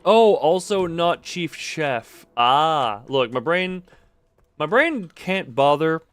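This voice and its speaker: A man's voice: on the high side, sing-song, slow pace, no echo. A man giving casual live video game commentary, thinking aloud in a rambling, self-interrupting way with playful, self-deprecating wordplay.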